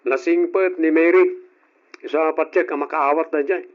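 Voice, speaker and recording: An actor playing a hardworking young husband and elder brother in an old radio drama, who lectures and scolds. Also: male voice, a little high, fairly even intonation, moderate pace, close to an everyday voice. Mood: earnest. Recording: dull old radio recording.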